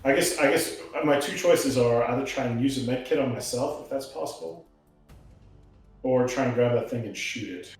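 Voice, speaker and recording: A man's voice: mid-range voice, normal speed. Man at a game table, heavily echoing room. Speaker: player in a tabletop roleplaying game. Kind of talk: casual game-table chat. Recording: very echoey room, music behind.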